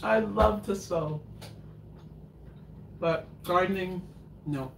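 A woman's voice: lowish voice, slow, gravelly. An older woman hosting a live home cooking show, chatting and rambling as she answers viewer questions. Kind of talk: casual live chat while cooking. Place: home kitchen, indoors. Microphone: far camera in front of counter. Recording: echoey kitchen, noisy, mic at a distance.

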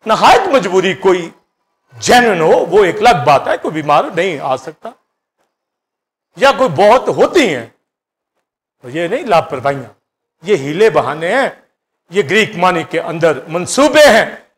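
A middle-aged man with a Pakistani Urdu accent preaching a sermon in a church hall on a handheld mic, swinging between loud bursts and hushed asides. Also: sits high, very animated, a little slow, long pauses. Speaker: pastor.

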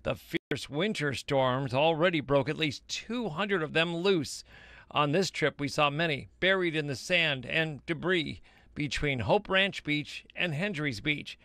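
A man in his sixties reporting live outdoors on a beach with a handheld mic, in a brisk, emphatic news delivery. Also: fairly high pitch, bright, forceful tone, dry recording. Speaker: field reporter.

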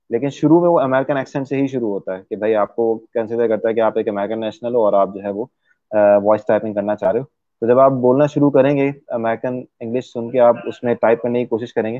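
A young man, explaining his English course in a relaxed interview, with many "uh" pauses. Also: medium pitch, dry recording. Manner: explains patiently, with frequent "uh" pauses and fillers. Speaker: English teacher, interview guest. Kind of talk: casual explanatory interview talk. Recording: dry, tinny phone-like line.

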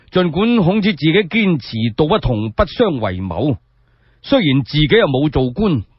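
A man telling a scripted story in Cantonese radio style, expressive and measured, with rhetorical asides, on a dull recording. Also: on the high side, breathy edge, no echo. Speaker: solo storyteller.

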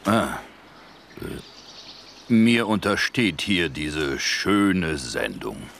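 A man voicing a big, fat, self-important forest bear with a gravelly voice, introducing a children's story pompously and haltingly. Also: sits low, big pitch swings, fairly bright tone, a little hiss or hum, full character voice.

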